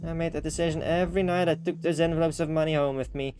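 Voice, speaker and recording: A man's voice: fairly high pitch, steady tone, quick pace, voice pushed up, natural voice. A man voicing a resolute woman bartender from a visual novel, earnest and plain-spoken. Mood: resolute, apologetic. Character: resolute young woman bartender, frank and self-blaming.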